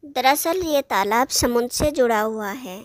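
A young forest fairy from a storybook, voiced by a woman. She is concerned and helpful, with a high, slow-paced voice and a dry, close sound. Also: animated, no echo.

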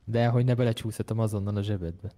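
A man's voice: on the low side, steady tone, dark tone. A man chatting casually with other players over in-game voice chat. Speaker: player in an online roleplay game.

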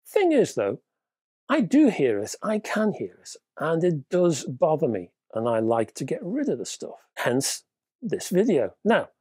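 A man's voice: a little high, wildly swooping pitch, a little slow, dark tone, slightly rough, no echo. Stuffy-nosed man in his fifties, casual wry reviewer, dry close mic. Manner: chatty, wry, emphatic, leans on key words. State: stuffy nose, wheezy from a cold. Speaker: hi-fi reviewer, channel host. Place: home room lined with record shelves. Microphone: lapel mic.

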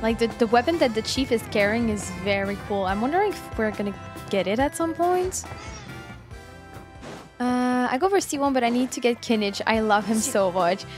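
A woman's voice: fairly high pitch, normal speed. A woman in her twenties giving casual, chatty commentary on a gaming stream with informal asides. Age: 20s. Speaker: game streamer. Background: game music.

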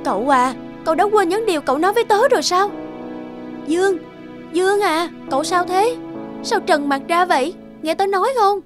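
A woman's voice: very high-pitched, expressive, moderate pace. A woman voicing a blunt young friend who scolds and pushes with insistent questions in a cartoon. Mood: scolding. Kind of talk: cartoon voice acting. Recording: music under the voice. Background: background music.

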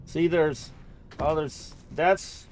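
A man's voice: a little high, steady tone, very slow, darkish tone, slight background noise. A man giving casual let's-play commentary, thinking aloud and often repeating his phrases as he goes. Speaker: gamer narrating own playthrough.

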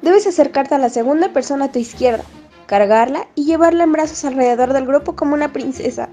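Woman acting a high-pitched excitable teenage girl. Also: fast talker, soft background music, close to an everyday voice. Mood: teasing. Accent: Latin American Spanish. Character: bossy, excitable teenage best friend girl. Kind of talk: fan comic dub.

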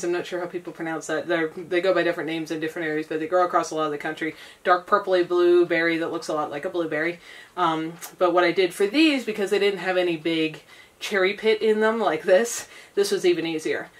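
An American woman in her thirties giving a casual, chatty kitchen demonstration with rambling asides. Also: on the low side. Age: 30s. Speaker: vlogger demonstrating home canning. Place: small home kitchen. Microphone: camera a few feet away. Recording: distant camera mic, clearly noisy room.

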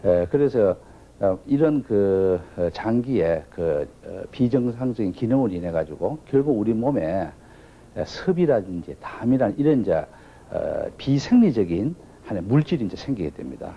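A middle-aged man, a doctor explaining in an interview, haltingly and with frequent pauses. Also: fairly low pitch, sing-song. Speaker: oriental medicine doctor. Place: book-lined office. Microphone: lapel mic. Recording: dull lo-fi tape with faint noise.

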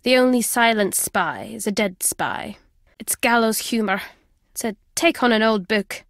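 An older British ex-spy, video game character, high-pitched, sardonic, guarded but fond, close dry sound. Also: female voice, unhurried, no echo. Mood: wistful, grave.

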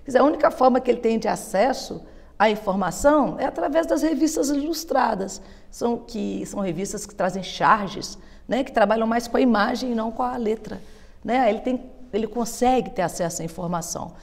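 A woman's voice: on the high side, brisk, creaky. Woman, 50s, historian guest; fluent, explanatory; lapel mic, studio reverb. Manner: steady, fluent, explanatory, with frequent tag questions. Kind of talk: explanatory interview answers. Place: television studio.

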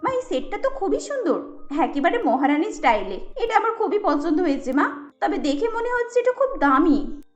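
Lady doing a sweet girly young bride for a cartoon, super high voice. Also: very animated, normal speed, reverberant, dull audio, soft background music. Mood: delighted awe. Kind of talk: melodramatic cartoon dubbing.

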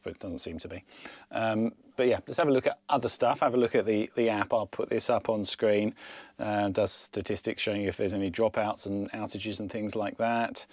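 A man's voice: fairly low pitch, darkish tone, dry recording. A man in his fifties giving a casual, informal explanation to camera on a lapel mic, thinking aloud as he goes. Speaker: sailing vlogger.

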